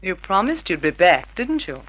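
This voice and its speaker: An actress playing a coy, nervous young gangster's moll in an old-time radio crime drama, melodramatic. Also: female voice, on the low side, sing-song, normal speed, fairly bright tone. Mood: coy, evasive. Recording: noisy, dull vintage radio recording.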